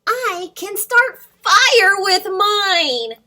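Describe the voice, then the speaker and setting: A woman's voice: very high voice, very animated, very slow, bright, forceful tone, pitched above the performer's own voice, exaggerated voice. A woman reading the part of a cheerful young dragon in a children's picture book, proud and exclamatory, drawing out the words. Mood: proud, gleeful.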